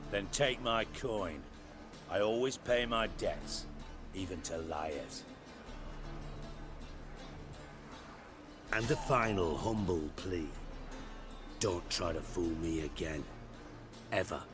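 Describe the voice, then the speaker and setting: An actor playing a proud, menacing crime boss in a video game, with mocking, deliberate delivery and ornate phrasing. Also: male voice, low voice, animated, music in the background. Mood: cold menace.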